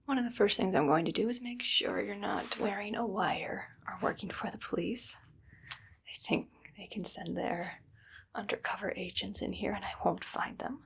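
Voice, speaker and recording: A woman playing a menacing, irritable evil scientist in an ASMR roleplay, whispering bossy orders and deadpan threats with a breathy, creaky edge. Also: medium pitch, moderate pace. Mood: businesslike, ominous.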